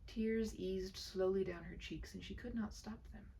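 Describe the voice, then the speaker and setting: A woman reading a short story aloud in a gentle, dramatic storybook manner. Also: medium pitch, fairly even intonation, moderate pace, creaky, distant mic, slight background noise, low-quality recording. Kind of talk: expressive scripted story narration.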